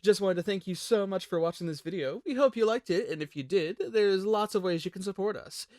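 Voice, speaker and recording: A man hosting a scripted promotional outro as a voice actor, in a showy, animated manner. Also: high-pitched, fairly quick, no room sound, studio quality. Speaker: channel host and voice actor.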